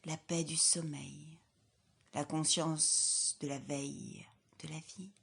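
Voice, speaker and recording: A woman leading a guided yoga nidra relaxation as an instructor, in a calm, soothing, measured way with soft, breathy phrase endings. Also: low voice. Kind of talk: guided relaxation meditation, scripted.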